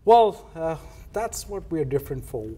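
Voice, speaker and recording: A man with a Sri Lankan accent explaining things as a guest in a televised interview, halting now and then mid-sentence. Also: fairly high pitch, animated, warm tone. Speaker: interview guest, foundation co-founder.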